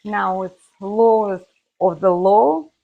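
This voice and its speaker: A woman hosting a cooking video from her home kitchen, her voice hoarse, chatting viewers through each step. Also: medium pitch, slow pace, phone-quality sound. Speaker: cooking channel host. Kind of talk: casual cooking demonstration.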